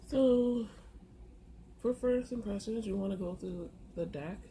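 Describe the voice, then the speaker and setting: Slow, husky-throated woman with a mellow voice. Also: medium pitch. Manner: unhurried, halting, with many filler pauses. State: sore throat, voice a bit off. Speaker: tarot and oracle card content creator. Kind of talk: casual instructional walkthrough. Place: first-floor apartment on a busy street. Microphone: camera. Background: faint street noise.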